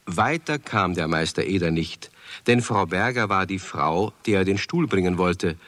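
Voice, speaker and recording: A man narrating a children's radio play as a calm, wry storyteller. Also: low-pitched, normal speed, dry recording.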